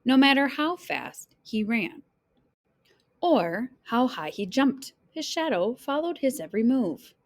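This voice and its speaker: A woman with a fairly high, very lively voice reads a children's picture book aloud. She takes her time, phrasing each line deliberately and dramatically, as a storyteller does for young listeners. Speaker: read-aloud storyteller, teacher.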